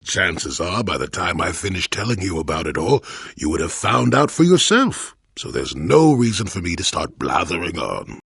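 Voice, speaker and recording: A man playing a cantankerous, wry old hermit in a video game, with a raspy, gravelly voice and a crotchety, theatrical delivery. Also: low-pitched, sing-song, normal speed, fairly bright tone, no room sound, clean studio sound, heavily acted. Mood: gruff, teasing. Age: older. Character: cantankerous wry old male hermit, a Force-sensitive former Jedi. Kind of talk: video game character voice acting.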